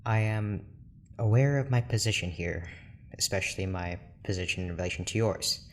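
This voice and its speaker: A man's voice: lowish voice, moderate pace, slight background noise, natural voice. An actor playing a weary, formal research doctor in an audio drama, choosing his words carefully and apologetically. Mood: weary, apologetic. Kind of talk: naturalistic audio drama acting.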